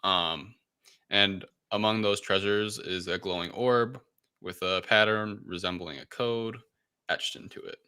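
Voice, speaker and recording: The deep-voiced guy at the head of a movie podcast, feeling his way through a recap in a sharp, forward tone. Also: fairly even intonation, measured pace, clean tone. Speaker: podcast host. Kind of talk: casual film review discussion.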